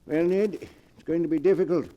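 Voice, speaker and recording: An actor playing a proud, shady professor who fakes ghosts for hire, in theatrical, measured 1940s radio mystery style. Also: male voice, fairly high pitch, steady tone, highs cut off, lightly acted. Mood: cautious, calculating.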